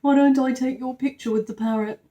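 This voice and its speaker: A woman's voice: high voice, fairly even intonation, brisk, mellow, roomy sound, voice pushed up, natural voice. A woman reading the part of a friendly, plain-spoken young boy in a storybook. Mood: eager. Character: Jonathan, friendly young boy on a zoo outing. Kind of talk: storybook read-aloud dialogue.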